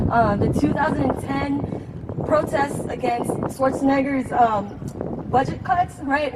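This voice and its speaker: A woman from Los Angeles, an adjunct faculty member, introducing herself on a panel earnestly, her phrases trailing off softly at the ends. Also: sits high, noisy background. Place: outdoor campus courtyard.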